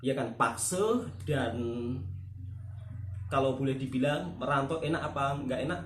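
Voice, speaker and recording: A man from Java with a Javanese accent talks earnestly as a channel host, often turning to his friend for agreement. His voice sits at a middle pitch, and the room around him is echoey. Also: measured pace, slight background noise. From Java, Indonesia. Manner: earnest, keeps asking for agreement. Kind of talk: casual chat with a friend.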